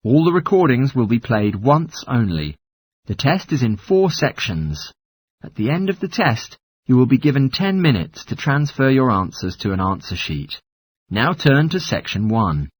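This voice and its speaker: A man's voice: mid-range voice, very animated, moderate pace, slightly rough, dry recording. A man narrating scripted exam instructions in a formal, instructional manner. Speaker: test narrator.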